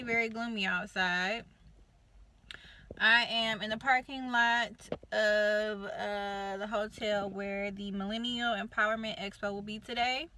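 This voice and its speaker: A young woman vlogs from her parked car, the phone held at arm's length. She is chatty and slangy. Her voice is bright and sits a little high. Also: slight background noise. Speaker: vlogger and assistant publicist. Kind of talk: casual vlog chat.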